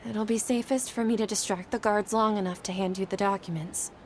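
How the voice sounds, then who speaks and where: A woman's voice: mid-range voice, fairly even intonation, a little fast, a little hiss or hum, lightly acted. An actress playing a guilt-ridden, earnest woman scholar in a video game, speaking expressively and a little hesitantly. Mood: earnest, careful.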